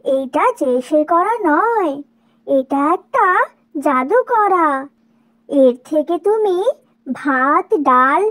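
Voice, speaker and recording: A kindly little magic bird, voiced by a woman in a children's doll story. It speaks high and very slowly, in an instructive, gently cautioning way. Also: expressive, exaggerated voice. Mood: reassuring, cautioning. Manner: unhurried, instructive. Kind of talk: dramatized doll-story dialogue.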